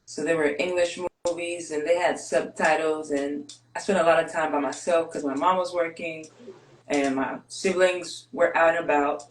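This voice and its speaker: Greek-born woman guest with Greek as her first language, candid and measured, roomy echo. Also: deep voice, a little hiss or hum. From Greece. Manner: candid, steady, measured with pauses. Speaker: guest, basketball player. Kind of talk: reflective interview storytelling.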